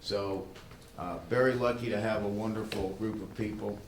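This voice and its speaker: Guy hosting a conference, lowish voice, kinda halting, repeats himself, echoey room. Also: steady tone. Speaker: event host. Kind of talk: informal spoken remarks to a conference room. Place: good-sized meeting room. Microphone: livestream microphone, not amplified in room. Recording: roomy echo, faint background noise.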